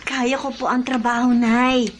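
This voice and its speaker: A dutiful young Filipina daughter played by a woman in a radio drama. Her voice is very high, earnest and pleading, with faint noise in the background. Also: level tone, unhurried, natural voice. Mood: earnest, reassuring. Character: dutiful young eldest daughter of a poor family.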